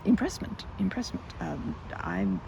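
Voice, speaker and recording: A woman's voice: sits low, huge pitch swings, unhurried, creaky, background noise, exaggerated voice. A woman playing a timid, socially anxious young woman in an ASMR roleplay, stammering and rambling nervously as she corrects herself. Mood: shy, nervous. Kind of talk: ASMR roleplay, intimate one-sided romantic dialogue.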